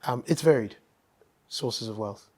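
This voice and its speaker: This man grew up in Nigeria and talks as a guest in a relaxed interview. His voice sits mid-range, and he thinks aloud, with frequent ums and restarts as he gathers his points. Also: mid-range voice, unhurried, slightly rough. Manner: thoughtful, with many ums and restarts. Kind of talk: casual interview conversation.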